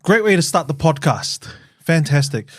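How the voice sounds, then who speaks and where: A man hosts a podcast interview, his voice a little higher than most men's. He encourages his guest and keeps the talk moving with questions. The recording is close and dry. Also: sing-song, moderate pace, no room sound. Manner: warm and encouraging, with frequent affirmations. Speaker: podcast host.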